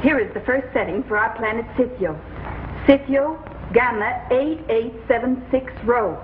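An actress playing a commanding villainess queen in a 1950s TV space serial, speaking formally and deliberately. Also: a little high, a little hiss or hum, lightly acted. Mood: cold, intent. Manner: formal, deliberate, measured reading. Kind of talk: 1950s television serial acting. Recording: muffled old 1950s TV soundtrack with music.

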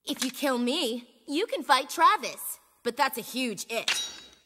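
A woman's voice: high voice, lively intonation, takes it slowly, sharp tone, full character voice. An actress playing a young, lethal, self-assured girl assassin in a video game, blunt and devoted. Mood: menacing. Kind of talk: video game cutscene acting. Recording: game audio with faint ambient noise.

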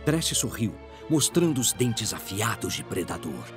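A man's voice: lowish voice, sing-song, measured pace, loud music behind the voice. A man with a Brazilian accent giving dramatic scripted narration for a fantasy audio drama.

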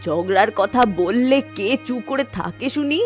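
A woman's voice: fairly high pitch, expressive, moderate pace, close to an everyday voice. A woman voicing a middle-aged, nagging yet hospitable village housewife in a cartoon story. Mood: aggrieved. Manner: complaining, homely. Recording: background music bed.

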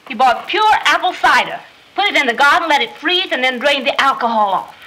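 An actress playing a loyal, indignant grown daughter in a 1930s comedy film. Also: female voice, high voice, animated, brisk, pushed and bright. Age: young adult. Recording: noisy old 1930s film soundtrack.

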